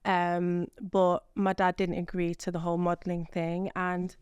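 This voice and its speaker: Chatty young woman, very smooth voice. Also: lowish voice, monotone, measured pace, a little mellow, no echo. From Iraq. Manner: chatty, frequent fillers and "do you know what I mean". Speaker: podcast guest. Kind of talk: casual interview chat.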